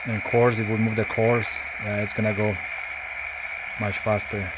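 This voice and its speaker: A man with a fairly low, very flat voice talks through a hands-on job in a casual way. He thinks aloud in halting phrases, with clear background noise on the recording. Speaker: CB radio hobbyist demonstrating an installation. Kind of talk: casual hands-on tutorial narration.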